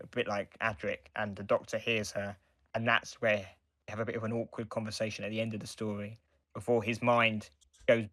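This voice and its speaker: A man co-hosting a casual podcast discussion, explaining in a halting, rambling way with restarts. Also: fairly low pitch, sharp tone, no room sound. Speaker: podcast co-host.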